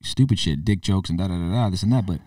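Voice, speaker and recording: Young male co-host, rapid and animated, casual swearing, dry sound, a bit off mic. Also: low-pitched, dry recording, distant mic. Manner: quick, animated, swears freely. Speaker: podcast co-host. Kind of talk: casual chat.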